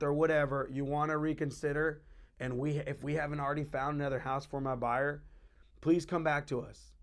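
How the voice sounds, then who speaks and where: A man speaking as a real estate coach, talking straight to fellow agents in a blunt, emphatic and informal way. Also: on the high side. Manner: blunt, emphatic, repeats points; informal, colloquial.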